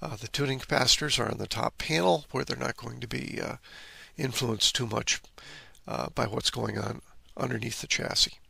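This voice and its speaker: A man's voice: medium pitch, normal speed, creaky, bright, forceful tone, slightly rough, dry recording. A man presenting an informal, instructive technical walkthrough, explaining electronics step by step. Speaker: presenter of an electronics repair video.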